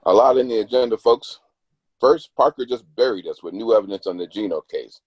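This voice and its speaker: A man playing a businesslike, commanding law-firm boss in a script table read, husky, on a dull dry recording. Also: on the low side, brisk, darkish tone, no room sound, lightly acted. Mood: brisk, authoritative.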